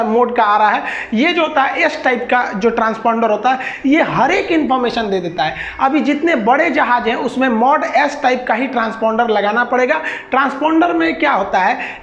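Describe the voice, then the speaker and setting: A woman with a Bihari accent gives an informal lecture in a high voice. She explains in colloquial, everyday terms like a teacher talking to a class. The sound is echoey and a little dull. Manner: colloquial, explains with everyday examples and acted-out exchanges.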